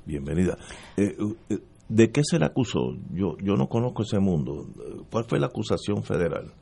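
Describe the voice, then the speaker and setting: Man, low creaky voice, interviewer, probing, conversational. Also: big pitch swings, normal speed, warm tone. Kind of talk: conversational questioning.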